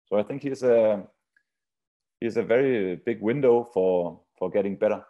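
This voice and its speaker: A set-piece coach, a man whose first language is Swiss German, speaking haltingly with restarts on a webinar video call. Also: lowish voice, muffled recording. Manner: halting non-native English with restarts. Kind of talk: webinar talk.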